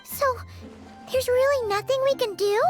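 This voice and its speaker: A woman voicing a tiny childlike fairy companion in a video game, chirpy and eager with a squeaky voice. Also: normal speed, music in the background. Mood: puzzled, concerned. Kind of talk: animated video game acting.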